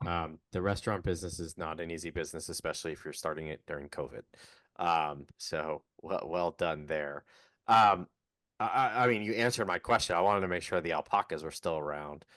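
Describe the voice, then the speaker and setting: Very deep-voiced male podcast host. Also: brisk, a bit bright, no room sound. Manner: encouraging, brief affirmations. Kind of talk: casual interview.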